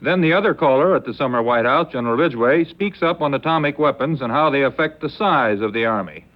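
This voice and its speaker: A man's voice: medium pitch, lively intonation, a little fast. A man reading a scripted field report as a 1950s news correspondent. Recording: muffled 1950s broadcast sound.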